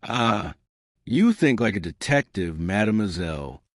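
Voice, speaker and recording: A man's voice: fairly low pitch, sing-song, measured pace, no room sound, clean studio sound. A man voicing a courteous little male detective in an audiobook mystery, formal, precise and authoritative. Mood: deliberating.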